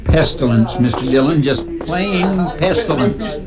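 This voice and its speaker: An actor playing an earnest, exasperated frontier sidekick in an old Western radio drama, with an indignant drawl. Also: male voice, a little high, lively intonation, unhurried. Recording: old radio recording with loud music.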